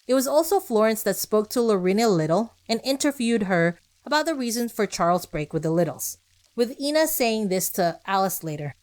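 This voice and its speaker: A woman's voice: mid-range voice, sing-song, moderate pace, darkish tone. A woman podcast host reading scripted narration with personal asides, speaking conversationally and directly to her listeners.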